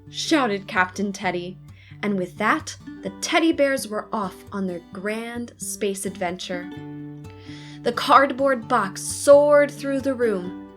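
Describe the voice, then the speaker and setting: Woman, children's storybook narrator, slow unhurried pace, fairly high pitch, expressive phrasing, faint music behind. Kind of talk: scripted children's storybook read-aloud.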